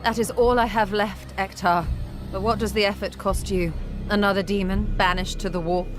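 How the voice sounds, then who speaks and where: Female actor as psychic warrior: mid-pitched, grave, selfless resolve, formal game-cinematic delivery over music. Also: fairly even intonation, normal speed, natural voice. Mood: resolute. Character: solemn, self-sacrificing female psychic warrior. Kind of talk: video game cinematic dramatic acting.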